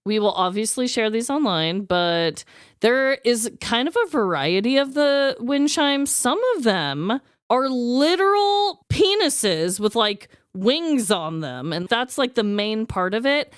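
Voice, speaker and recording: A woman with a fairly high, very expressive voice co-hosts a comedy podcast. She talks casually and swears freely, acting out dialogue as she goes, recorded close and dry. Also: slow pace, clear, resonant tone, dry recording. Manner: animated, sweary, acts out dialogue. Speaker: podcast co-host. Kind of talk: casual comedic storytelling and banter.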